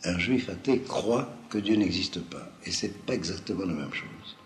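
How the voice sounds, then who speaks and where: A man with a low voice and a slight Toulouse-like accent, a native speaker of Judeo-Arabic, lectures off the cuff. He explains didactically and haltingly, repeating phrases for emphasis. The room echoes around him, with faint noise behind. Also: animated. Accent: slight southwestern French (Toulouse-like). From Algeria. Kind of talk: extemporaneous lecture to listeners. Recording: roomy echo, faint background noise.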